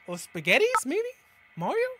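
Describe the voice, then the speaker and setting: Bright-voiced young American man, animated. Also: very high voice, normal speed, a little hiss or hum. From California, United States. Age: 20s. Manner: animated, slangy, exclaiming. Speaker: reaction video host. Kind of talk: casual reaction commentary. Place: small indoor room at a gaming setup. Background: music.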